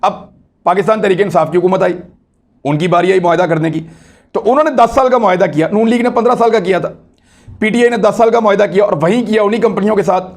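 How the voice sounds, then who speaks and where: A man's voice: high-pitched, quick pace. A man with a Pakistani accent giving explanatory news commentary in an emphatic way, repeating key phrases and asking rhetorical questions. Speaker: political commentator hosting own online video.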